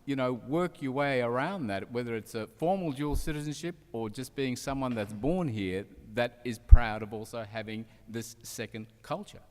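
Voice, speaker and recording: A man's voice: medium pitch, normal speed, hardly any pauses. A man, speaking earnestly as a panelist in an echoey hall. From Australia, part of childhood in Turkey. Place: large indoor event hall. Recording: echoey hall public address sound.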